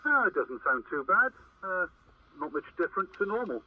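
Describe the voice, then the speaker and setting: A man relaying calls casually over CB radio in a halting, hesitant way. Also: high voice, very animated. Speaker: CB radio operator. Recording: tinny, very noisy CB radio transmission.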